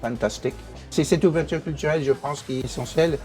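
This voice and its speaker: Man, English teacher, native English speaker, halting speech, lots of "euh", faint music behind. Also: on the high side, a little mellow. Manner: hesitant, many fillers and restarts. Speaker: English teacher and program director. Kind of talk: interview answers.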